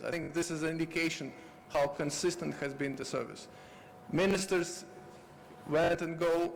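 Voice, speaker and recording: A man, a foreign service official, speaking haltingly at a conference panel in English. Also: fairly high pitch, a little hiss or hum, rough recording. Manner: halting, many fillers and restarts.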